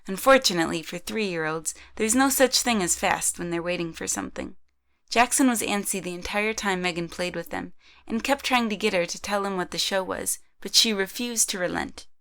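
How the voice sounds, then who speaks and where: A woman's voice: mid-range voice, normal speed, gravelly, a bit bright. A woman reading scripted third-person narration for an amateur audio drama.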